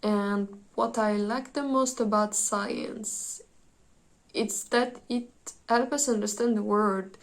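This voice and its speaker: A young woman speaks English with a clear French accent, her first language showing in small slips of grammar. Her delivery is enthusiastic yet stays fairly flat in pitch. She is a psychology student giving a prepared talk to camera. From France.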